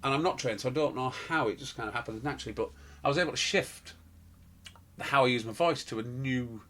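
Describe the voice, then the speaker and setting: Husky middle-aged man. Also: medium pitch, brisk, pushed and bright. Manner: rambling, anecdotal, many fillers and restarts. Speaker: pantomime performer and guest. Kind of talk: casual interview chat.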